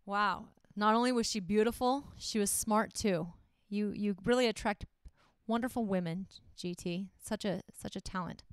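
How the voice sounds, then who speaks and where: A woman's voice: mid-range voice, moderate pace, clean tone, no room sound. A woman co-hosting a comedy podcast, bantering casually with a teasing, sarcastic manner. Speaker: comic and podcast co-host.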